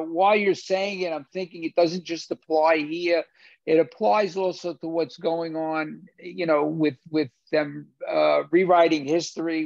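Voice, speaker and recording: A man's voice: a little high, vocal fry, dry recording. An older man moderating a film discussion over a video call, hesitant with many fillers and restarts. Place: home theater room. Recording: dull dry video-call audio.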